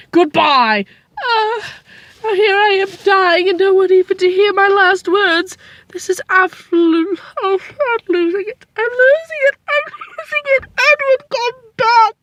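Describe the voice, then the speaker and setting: A woman's voice: extremely high pitch, a little slow, fairly bright tone. A woman voicing a melodramatic dying wife who wails and sobs theatrically in a comic sketch. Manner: overwrought, sobbing theatrical lament.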